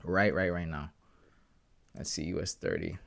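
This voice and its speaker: A man's voice: sits low, fairly even intonation, normal speed, vocal fry. A man in his thirties chatting casually with viewers on a live trading stream, slangy and laughing often. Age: 30s. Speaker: livestreaming forex trader.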